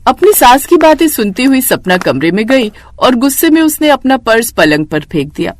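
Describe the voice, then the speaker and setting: A woman's voice: on the high side, sing-song, moderate pace. A woman narrating a scripted moral story as its storyteller.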